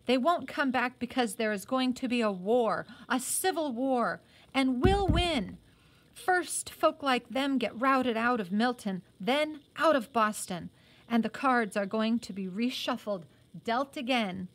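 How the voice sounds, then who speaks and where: A woman's voice: a little high, animated, a little slow, low-quality recording. A woman voicing a solemn, resolute orphaned teenage boy in a storybook, speaking in an earnest, declarative way. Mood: solemn resolve.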